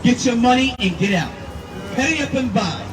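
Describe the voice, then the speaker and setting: A man preaching outdoors, forceful and emphatic, repeating his points. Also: very high voice, expressive, moderate pace, over music. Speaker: street preacher. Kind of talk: street preaching. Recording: distant mic with loud street noise.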